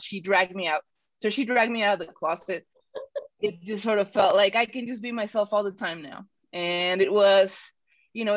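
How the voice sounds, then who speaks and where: A woman's voice: medium pitch, fairly bright tone, no echo. A woman from Mexico talks as a podcast guest in a chatty, rambling way, with lots of restarts and fillers. Her voice sits mid-range and is recorded dry and slightly dull, with no room sound. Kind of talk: casual personal storytelling.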